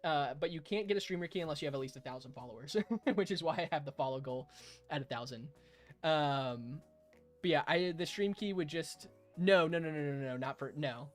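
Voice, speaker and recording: A hoarse young man live-streaming a game, chatting casually with viewers, chuckling and thanking them. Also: a little high, fairly quick, faint music behind. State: hoarse, raspy throat.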